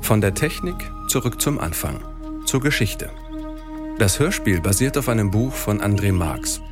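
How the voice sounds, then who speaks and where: A man's voice: lowish voice, sing-song, moderate pace, loud music behind the voice. A man presenting a feature in scripted narration.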